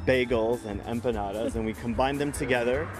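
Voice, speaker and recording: A man in his thirties being interviewed outdoors at his bakery. He speaks in a relaxed, slightly halting way into a reporter's handheld mic. Faint music plays underneath. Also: medium pitch. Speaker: bakery co-owner. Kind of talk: live TV interview.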